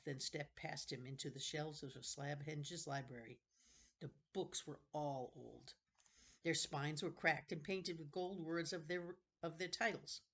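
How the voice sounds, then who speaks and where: Low-voiced woman reading a children's novel. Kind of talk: storybook narration read aloud.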